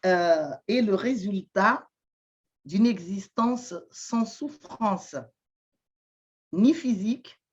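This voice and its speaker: A woman from Madagascar, whose first language is not French, answering interview questions slowly with many pauses, fillers and repeated words. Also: medium pitch, animated, dull audio. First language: Malagasy. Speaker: guest, shamanic therapist.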